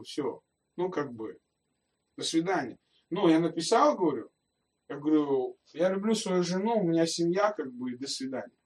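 A man's voice: high voice, far from the mic. A male pastor giving a sermon in a conversational way, speaking straight to his listeners and asking them rhetorical questions.

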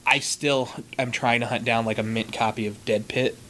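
A man's voice: mid-range voice, steady tone, moderate pace, sharp tone, slight background noise. A man from Connecticut hosting an interview podcast, asking long, rambling questions in a friendly way and laughing often. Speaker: podcast host. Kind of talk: casual interview chat.

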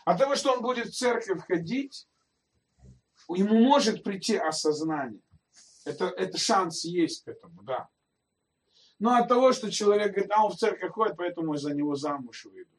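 A man's voice: high voice, expressive, brisk, recorded from a distance. A male pastor giving a sermon in a conversational way, speaking straight to his listeners and asking them rhetorical questions.

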